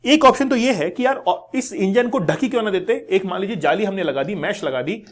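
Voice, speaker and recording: A man's voice: high-pitched, expressive, a little fast. A man with an Indian accent presenting an explanatory news lesson to viewers, going step by step, repeating key words and mixing in English terms. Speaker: educational channel presenter.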